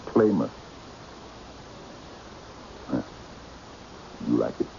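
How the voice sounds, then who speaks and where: Very deep, gravelly, mysterious man. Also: big pitch swings, very slow, mellow, full character voice. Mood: softly commanding. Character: mysterious eccentric man with cat, controlled, commanding, courtly. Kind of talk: old-time radio mystery acting. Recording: dull, noisy old radio recording.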